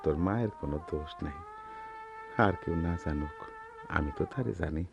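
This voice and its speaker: An actor playing a gentle, principled middle-aged village goldsmith and father in a radio drama, speaking earnestly in colloquial rural Bangladeshi Bengali. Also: rumbling low, moderate pace, vocal fry, music in the background. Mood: tender, resigned.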